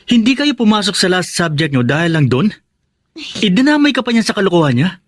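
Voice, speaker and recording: A man's voice: high-pitched, sing-song, a little fast, dry recording, clean studio sound. A man playing a young, protective and suspicious older brother in a Filipino radio drama, blunt and scolding. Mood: stern, suspicious.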